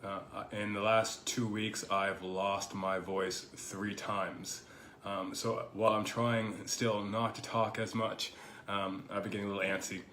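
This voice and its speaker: A man with a lowish, slightly hoarse voice, still getting over a cold, speaking in a roomy space with a faint hiss behind him. He talks as the host of his own show, thoughtful and rambling, often pausing on "you know" as he works through his ideas. The delivery is casual and personal rather than polished. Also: level tone, bright, forceful tone. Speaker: podcast host. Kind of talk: casual reflective monologue. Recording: echoey room with faint background noise.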